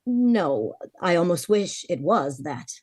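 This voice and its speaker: A woman's voice: on the low side, big pitch swings, slow, a little mellow, no echo, full character voice. A woman playing a worried, frank young heiress of twenty-four in a vintage-style radio drama, confiding her story earnestly. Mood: grave, worried.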